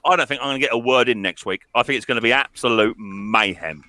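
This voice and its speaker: Bright-voiced English man hosting a chat. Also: mid-range voice, fast talker, no echo. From England. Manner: jokey and teasing, stumbles over restarts. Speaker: host of a live-stream video chat. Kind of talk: casual chat.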